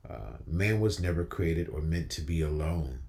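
A young man delivering an inspirational spoken-word monologue as a storyteller, with deliberate, measured phrasing and emphatic pauses. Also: very deep voice, lively intonation, gravelly, a little mellow. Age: young adult. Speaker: featured storyteller. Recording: long hall-like reverb on the voice.

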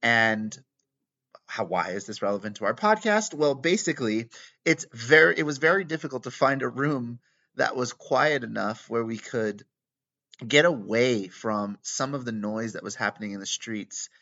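A man hosting a podcast interview in a conference room, chatty with many ums and pauses. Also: medium pitch, animated, sharp tone. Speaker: podcast host. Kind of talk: casual interview. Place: conference room with poor acoustics. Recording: lo-fi, dull sound.

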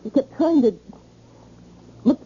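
A woman playing a brash, insecure, slangy young woman with halting repetitions, in old-time radio drama style on a muffled recording. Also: close to an everyday voice. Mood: shaken, accusing. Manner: slangy, halting, repeats herself.

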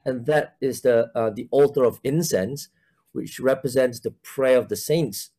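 Male guest, 40s, from Malaysia; mellow, hesitant church minister, heavy on fillers, over a video call. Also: mid-range voice, a little slow. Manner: hesitant, many fillers and restarts. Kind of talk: casual interview talk.

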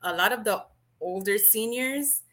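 Bright-voiced, composed woman from Miami. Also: medium pitch, measured pace. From Miami, Florida. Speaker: podcast guest, nurse and business owner. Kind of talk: conversational interview answers.

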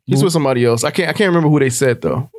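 A man's voice: medium pitch, racing, darkish tone. A man giving his take as a podcast panelist, in easygoing, slangy casual chat. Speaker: podcast panelist, film director.

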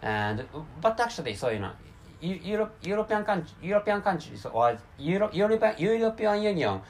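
A man's voice: high voice, very animated, moderate pace, slight background noise. A man with a Japanese accent, a Japanese native speaker, hosting a solo talk show in English with many restarts and repeated words. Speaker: podcast host.

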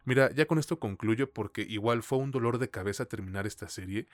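A man with a Mexican accent co-hosts a podcast in a fairly low voice. He reviews in a casual, slangy way and swears freely. The sound is dry and close, with little room to it. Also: a little fast, no echo. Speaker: podcast co-host.

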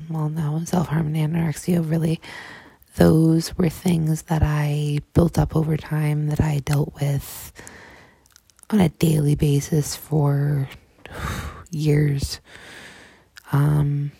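Low, gravelly-voiced young woman, halting. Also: dry recording. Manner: halting, soft-spoken, many fillers and restarts. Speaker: podcast host. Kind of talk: personal storytelling monologue.

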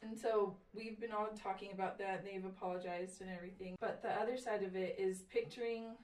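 A woman's voice: mid-range voice, steady tone, a little fast, a little mellow. A woman from Samoa speaks casually and from the heart as a podcast guest. She sits a little away from the mic, so the room echoes around her voice. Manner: emotional, heartfelt. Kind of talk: casual conversation. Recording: roomy, distant from mic.

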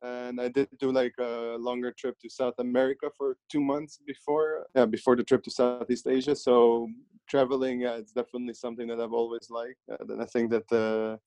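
A young man, speaking as an interview guest, pausing often with "uh" and trailing off quietly. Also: medium pitch, little pitch movement, no room sound. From Netherlands. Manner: hesitant, many "uh" pauses, trailing off quietly. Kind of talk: casual conversational interview.

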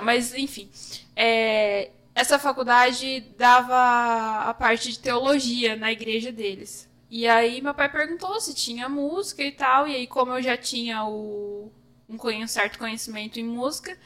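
Brazilian woman guest, kinda shy, higher voice, talks a bit hesitant. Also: fairly bright tone. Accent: Brazilian Portuguese. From São Paulo state, Brazil. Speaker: guest, music teacher. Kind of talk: casual interview.

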